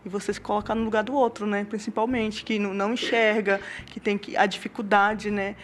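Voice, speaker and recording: A woman's voice: mid-range voice, level tone, fairly quick, slight background noise. A Brazilian woman giving an informal interview as an event participant, often checking with a short questioning tag. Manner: often tags phrases with a questioning "right?".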